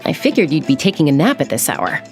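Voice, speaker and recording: A practical young adventurer, asking steady questions in a lowish voice that still moves expressively. Faint music sits behind her. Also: fast. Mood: calm.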